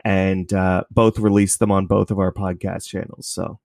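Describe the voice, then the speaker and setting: A man's voice: sits low, little pitch movement, normal speed, vocal fry, darkish tone, no echo, studio quality. A man talking casually as a podcast guest, explaining things in a rambling, self-correcting way. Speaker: podcast creator, interview guest. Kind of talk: casual interview chat.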